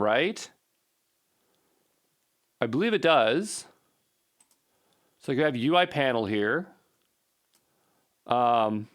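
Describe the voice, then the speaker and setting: A man's voice: fairly high pitch, fairly bright tone. A man talking informally to himself as he works through code in a casual screencast, muttering as he troubleshoots. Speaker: programmer recording a coding session. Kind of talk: casual think-aloud screencast narration.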